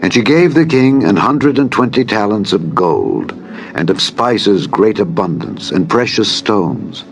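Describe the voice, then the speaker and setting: A man narrating scripture in a solemn, measured, formal manner, as a dramatized Bible reading. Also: on the low side. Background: background music.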